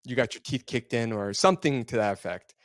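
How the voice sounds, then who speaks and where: Male interviewer, mid pitch, chatty and loose, dry room. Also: lively intonation, fairly quick, no room sound. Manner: chatty, rambling questions. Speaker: podcast interviewer. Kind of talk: casual interview questions.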